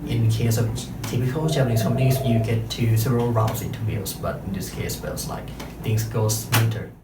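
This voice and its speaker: A Thai-accented man in his thirties delivers a measured, carefully paced talk to an audience, pausing often and correcting himself mid-sentence. His voice sits at an ordinary middle pitch. The recording is roomy and picked up from some distance, with clear music underneath. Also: level tone. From Thailand. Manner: careful, measured, frequent pauses and self-corrections. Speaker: interpreter and translator giving a talk.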